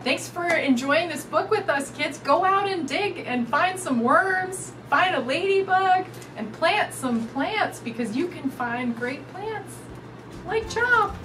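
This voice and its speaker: Woman, children's storytime reader, sing-song and very expressive, unhurried, distant mic, noisy background. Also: very high-pitched. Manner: animated, sing-song, addressing young children. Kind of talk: expressive children's picture book read-aloud. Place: indoors in front of a curtain backdrop.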